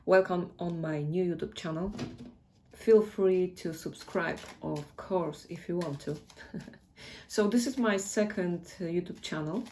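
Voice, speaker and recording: A woman's voice: fairly low pitch, measured pace, warm tone, slight background noise. A woman with a Polish accent, a native Polish speaker, giving a casual tarot reading in a hesitant way and often searching for words. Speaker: tarot reader.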